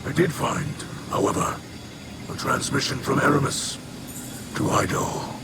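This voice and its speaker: A man playing a solemn, protective alien leader and troubled father in a video game, gravelly, formal and grave. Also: mid-range voice, animated, unhurried, very noisy, natural voice. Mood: grave, urgent. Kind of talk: video game mission narration.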